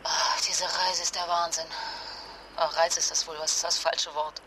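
A woman's voice: on the low side, level tone, moderate pace, noisy background, close to an everyday voice. An actress playing a distraught young mother fleeing disaster with a sick child, speaking with emotional, urgent intimacy in a naturalistic radio drama. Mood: weary, resolute.

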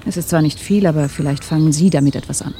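A guarded woman in a radio play, reserved and matter-of-fact. The voice is slightly low and mellow, with short, curt phrasing. A faint noise sits in the background. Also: fast talker. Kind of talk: German radio play acting.

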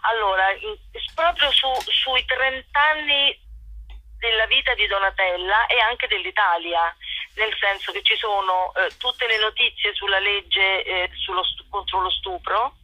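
A woman, an actress and playwright, giving a spontaneous interview in a halting, self-correcting way over a telephone. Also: medium pitch, sharp tone, no echo. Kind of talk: spontaneous radio interview about own show. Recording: dull telephone line.

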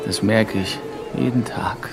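An actor in a radio play playing a long-suffering grown son with a dry, sarcastic manner. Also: male voice, lowish voice, fairly even intonation, unhurried, vocal fry, over loud music. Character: long-suffering, dryly ironic grown son of a difficult mother.

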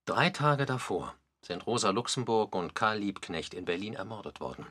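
A man reading scripted narration for a radio feature, with a slightly husky voice. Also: lowish voice, normal speed, a bit bright.

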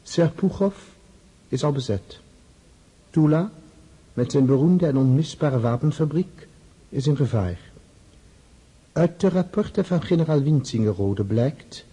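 Stern tsar with a dark, husky voice. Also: male voice, on the high side, low-quality recording. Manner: measured, formal. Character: stern reproachful Russian tsar dictating a letter. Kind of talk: radio drama letter reading.

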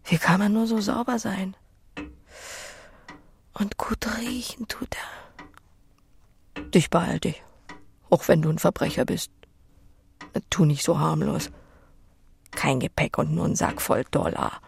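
A woman's voice: on the low side, a little slow, creaky, long pauses. The plain-spoken, practical farm woman in a radio play, musing bluntly and tenderly in her lonely kitchen, slight noise behind. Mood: curious, tender. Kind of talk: audio drama acting with inner monologue.

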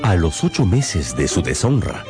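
A man's voice: sits low, big pitch swings, a little slow. A man narrating a literary radio novel in a theatrical storytelling manner. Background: music.

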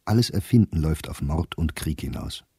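Weary middle-aged diarist, very low husky voice, measured, sardonic, literary radio play. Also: male voice, expressive, mellow, no room sound, studio quality, heavily acted. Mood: musing, sceptical. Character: educated middle-aged male diarist, bitter, weary, reflective.

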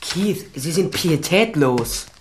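A woman's voice: low-pitched, lively intonation, slow pace, husky, heavily acted. An actress playing a determined, probing female private detective and ex-policewoman in a German radio crime drama. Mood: probing, concerned. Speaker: lead actor and first-person narrator.

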